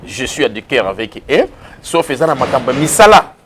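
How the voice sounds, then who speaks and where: A man from Kongo Central with a Congolese accent, answering interview questions in a formal, solemn manner with filler pauses. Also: mid-range voice, big pitch swings, a little hiss or hum. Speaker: interview guest, association president.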